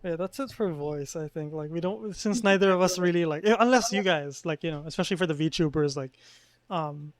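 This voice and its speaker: Hesitant Filipino man with a higher voice. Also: brisk, no echo. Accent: Filipino, mostly neutral English. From Philippines. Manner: hesitant, repeats words, many fillers. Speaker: podcast co-host. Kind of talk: casual chat.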